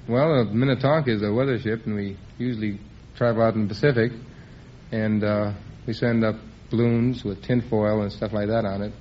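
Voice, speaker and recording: A man's voice: lowish voice, steady tone, a little fast. A man in his twenties with an American accent, casually answering as a quiz show contestant. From Los Angeles, United States. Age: 20s. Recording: dull old radio recording, faint noise.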